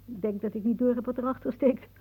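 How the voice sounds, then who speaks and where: An actress playing a sardonic, rambling old aunt in a radio play, given to suggestive musings and muttering. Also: female voice, on the high side, fairly even intonation, quick pace, breathy edge. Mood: wry, suggestive. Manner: muttering musings, then calling out loudly. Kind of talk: theatrical radio play acting. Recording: narrow, tinny band like old radio.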